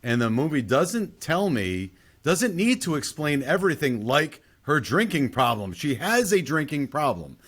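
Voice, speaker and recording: A man's voice: a little high, big pitch swings, measured pace, hardly any pauses, fairly bright tone, husky. A man hosting a casual film discussion, giving emphatic opinions and repeating his points. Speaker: film podcast host.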